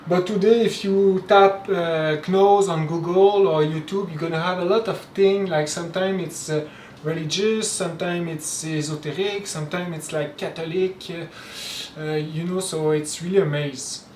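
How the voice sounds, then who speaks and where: A man from Quebec, a native French speaker with a Québécois French accent, gives an informal spoken lecture with halting speech, many fillers and restarts. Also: fairly high pitch, fairly even intonation, a little mellow, slight background noise. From Quebec, Canada. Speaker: spiritual teacher. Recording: roomy echo, mic at a distance, faint ambience.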